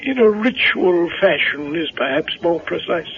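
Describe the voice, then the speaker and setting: Hesitant reverend in vintage radio drama: high voice, fussily precise, halting; tinny recording. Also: male voice, expressive, a little hiss or hum. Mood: evasive, flustered. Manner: stammering, hedging, fussily precise. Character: fussy, hesitant, kindly male reverend. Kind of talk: 1970s radio drama acting.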